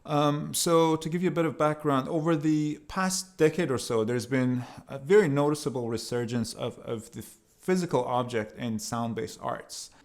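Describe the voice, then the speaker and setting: A man from Iran giving an explanatory talk as a sound artist, in measured, hesitant phrases with pauses. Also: mid-range voice. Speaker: sound artist presenting own work.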